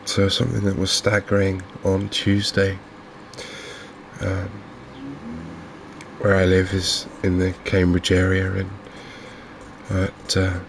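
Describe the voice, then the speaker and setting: A man with an English accent giving gentle, unhurried, softly spoken ASMR commentary on a video game. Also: sits low, monotone, gravelly, slight background noise, low-quality recording. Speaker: gaming video host. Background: fishing game ambience.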